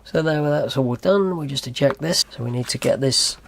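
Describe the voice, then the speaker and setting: A man's voice: medium pitch, a little fast, creaky, fairly bright tone. A man presenting a how-to tutorial in a methodical, explanatory way. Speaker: host of a tutorial channel.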